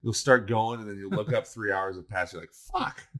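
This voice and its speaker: A man with a fairly low voice talks casually on a podcast. He is blunt and swears freely, often circling back to repeat a phrase so it lands harder. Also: steady tone, brisk, fairly bright tone. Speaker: video game segment presenter on a podcast. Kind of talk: casual chat.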